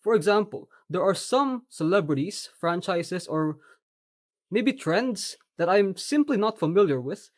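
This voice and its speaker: A young man from the Philippines with a Filipino accent, whose first language is Tagalog, talking earnestly in a personal vlog with measured, slightly formal phrasing. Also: high voice, expressive. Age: young adult. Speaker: vlogger. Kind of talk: personal reflective monologue.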